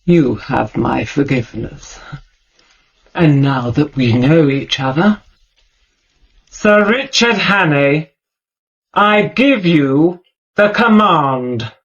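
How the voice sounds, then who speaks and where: Slow, husky, regal old lady. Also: low voice, animated, highs cut off, full character voice. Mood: hypnotic, commanding. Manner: stately, measured, imperious. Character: regal, blind old mother with hypnotic command. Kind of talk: radio drama acting.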